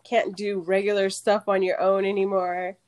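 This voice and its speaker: A woman's voice: medium pitch, flat delivery, moderate pace, a little mellow. A woman hosting a podcast in a bubbly, casual way, often laughing. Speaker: podcast host.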